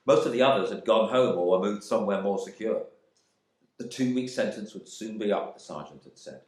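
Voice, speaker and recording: Guy playing bitter raf airman locked up, low voice, clipped brooding monologue, echoey room. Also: a little fast, a little mellow, close to an everyday voice. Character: embittered English RAF airman imprisoned in India, proud and resentful. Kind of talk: dramatic monologue reading from a novel.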